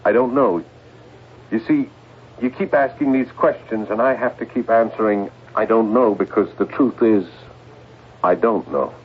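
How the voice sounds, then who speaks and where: Actor playing an edgy, low-voiced husband, radio drama. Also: male voice, moderate pace, close to an everyday voice. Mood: anxious, evasive. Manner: hesitant, defensive. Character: edgy, evasive 37-year-old husband and stockbroker. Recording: muffled, noisy old radio broadcast.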